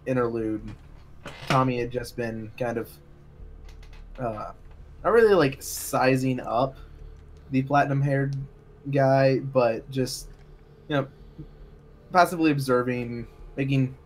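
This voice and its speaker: A man playing a tabletop roleplaying game, describing his character casually and haltingly as he thinks aloud. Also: medium pitch, steady tone. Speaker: roleplay player. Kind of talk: casual improvised roleplay chat.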